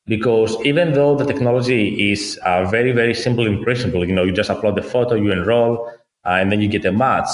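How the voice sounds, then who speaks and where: A man in his thirties from Athens with a Greek accent, a guest explaining things in a measured way, point by point. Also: fairly low pitch. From Greece, Athens. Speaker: guest, company founder. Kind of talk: conversational interview. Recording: roomy, echoey room.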